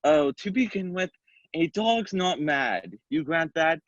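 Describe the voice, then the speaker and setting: A woman's voice: sits low, expressive, takes it slowly, no room sound, muffled recording. A woman playing a sly, mad, grinning cat in a dramatic reading of a children's classic, with a teasing, leisurely manner.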